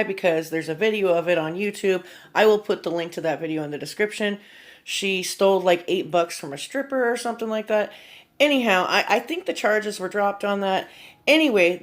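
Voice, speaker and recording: A woman giving casual, opinionated commentary in informal, slangy language as a video creator. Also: mid-range voice.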